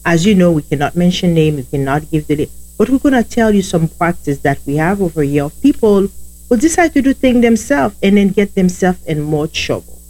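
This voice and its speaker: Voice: medium pitch, a little mellow, low-quality recording. A speaker with a heavy Haitian accent, a native Haitian Creole speaker, co-hosting a law firm podcast and chatting loosely with listeners. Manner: chatty, addresses listeners as guys, loose grammar. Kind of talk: casual podcast talk.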